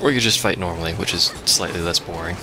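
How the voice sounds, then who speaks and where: A man's voice: low voice, fast talker, noisy background. A man giving casual, sarcastic commentary as he plays a video game. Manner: sarcastic, wry. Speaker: let's play host. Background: game music.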